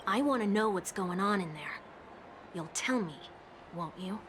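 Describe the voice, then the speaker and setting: A woman's voice: mid-range voice, lively intonation, unhurried, a bit bright, slight background noise. An actress playing a shrewd, blunt and skeptical woman in a video game, pointed and wry in her delivery. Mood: brisk authority. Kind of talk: scripted video game voice acting. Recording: music behind the voice.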